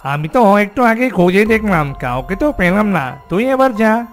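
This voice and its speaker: A man plays a grumbling demon guard in a fairy-tale cartoon. He argues reluctantly in a somewhat high male voice, with soft music behind. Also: big pitch swings, moderate pace. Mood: dismissive.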